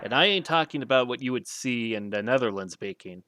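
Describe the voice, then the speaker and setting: A man's voice: medium pitch, lively intonation, fairly quick, pushed and bright, slight background noise. A man with a Minnesotan accent giving casual game commentary with friends, joking and teasing as he rambles. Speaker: stream commentator. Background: game music.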